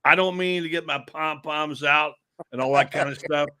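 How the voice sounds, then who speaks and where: A man's voice: fairly high pitch, fairly even intonation, moderate pace, pushed and bright. A man on a sports radio panel, ranting in a fired-up, exasperated way. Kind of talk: heated sports talk.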